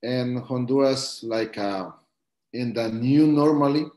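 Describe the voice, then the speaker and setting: A man from Guatemala, a coffee farmer, answering interview questions over a video call in halting English with many fillers. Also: medium pitch, darkish tone, roomy sound. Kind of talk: live-stream interview answers.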